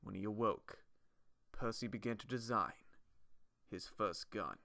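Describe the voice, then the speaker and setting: A man's voice: fairly low pitch, unhurried, gravelly, pushed and bright, no room sound. A man reading scripted fantasy character backstories as a dramatic narrator. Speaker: fan dub narrator.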